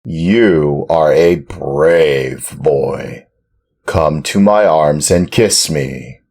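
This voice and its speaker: A man reading a storybook and voicing a gruff, bearded male puppet-theater director in a hoarse, booming, commanding tone. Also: very slow, a little mellow, full character voice.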